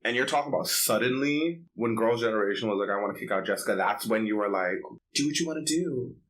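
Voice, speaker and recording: A man with a bright, forceful voice that sits a little low. He chats as a podcast co-host, sassy and theatrical, with slangy, animated storytelling. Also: fast, low-quality recording. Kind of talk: casual chat and animated storytelling.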